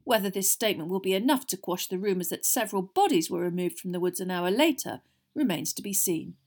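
A tired middle-aged wizard dad from a children's storybook, voiced by a woman reader. He speaks in a measured, heavy way, trying to keep calm while exasperated. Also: medium pitch. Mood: heavy, grim. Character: weary, soothing middle-aged wizard father.

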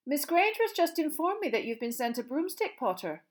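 A woman's voice: high-pitched, very animated, brisk, pitched above the performer's own voice. A woman voicing a stern, tart older witch teacher, with a clipped, dry delivery, in an audiobook reading. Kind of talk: audiobook character voice.

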